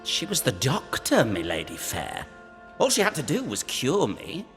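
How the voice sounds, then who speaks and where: A man voicing the flirtatious, deluded ghost of a sickly, lovesick gentleman in a theatrical, singsong video game performance. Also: mid-range voice, wildly swooping pitch, a little slow, heavily acted. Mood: defensive, dismissive. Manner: theatrical, singsong, repeating phrases. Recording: long echoing reverb, music underneath.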